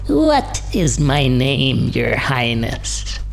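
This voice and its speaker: Screechy, high-pitched little imp. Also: male voice, wildly swooping pitch, vocal fry, heavily acted. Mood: taunting. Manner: slow, drawn-out, theatrical delivery. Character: gleeful, bargaining, menacing little imp man of fairy tale. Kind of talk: fairy tale character voice in an audiobook. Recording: music behind the voice.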